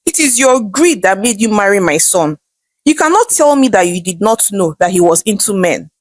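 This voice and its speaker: A woman voicing an excitable, sly, sarcastic Nigerian mother-in-law in a folktale, with emphatic scornful exclamations. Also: mid-range voice, normal speed, no echo, studio quality, natural voice. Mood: sarcastic, unapologetic.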